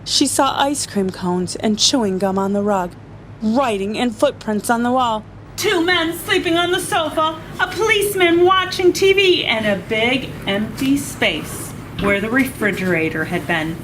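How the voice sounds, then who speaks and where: A woman's voice: fairly high pitch, lively intonation, a little slow, fairly bright tone, slight background noise. A woman reading a children's picture book aloud as a story-time reader, playful and often chuckling.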